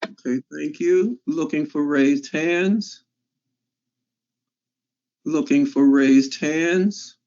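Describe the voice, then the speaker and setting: A man with a somewhat high voice moderates an online class over a dull, lo-fi line. He speaks slowly and repeats his prompts in a measured way. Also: darkish tone. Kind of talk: calling on participants. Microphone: video call. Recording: dull lo-fi call audio.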